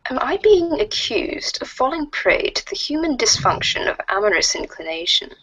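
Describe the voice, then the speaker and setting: A woman's voice: sits high, big pitch swings, moderate pace, fairly bright tone, slightly rough, full character voice, rough recording. A woman voicing a formal, curious alien troll girl with wordy, stilted phrasing, in an amateur reader's theater. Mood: inquisitive.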